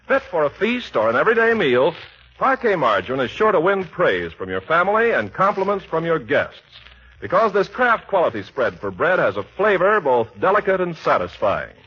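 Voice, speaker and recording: A man announcing a 1940s radio show in a polished, emphatic sponsor-pitch style. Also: sharp tone. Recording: muffled, raspy 1940s radio recording.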